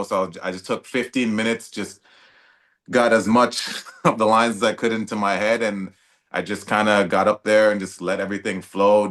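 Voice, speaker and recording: A young man from Toronto, an actor guest talking in a relaxed, casual way with many fillers and restarts. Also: lowish voice. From Toronto, Canada. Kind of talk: casual interview talk.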